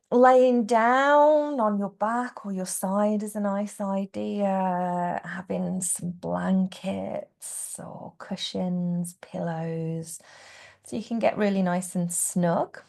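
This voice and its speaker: A woman guiding a relaxation meditation as a yoga nidra teacher, speaking gently and without hurry, with phrases that trail into a hush. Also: medium pitch, steady tone, vocal fry. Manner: gentle, unhurried, hushed phrase endings. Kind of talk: guided meditation.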